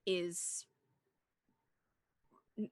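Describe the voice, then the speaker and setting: A woman's voice: very slow, no echo. A woman co-hosting a casual movie discussion, talking in an earnest, rambling way with plenty of "like". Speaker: podcast co-host.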